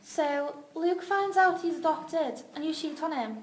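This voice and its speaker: A woman playing a gentle, concerned young woman who speaks hesitantly and earnestly in a script reading. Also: sits high, measured pace. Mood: earnest, disapproving. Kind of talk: audio drama script reading. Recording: echoey room with faint noise.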